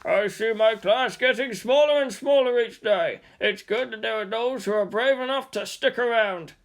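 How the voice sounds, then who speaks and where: A woman's voice: a little high, normal speed, voice pushed up, lightly acted. A woman voicing a kindly, approving schoolteacher in a fan fiction reading.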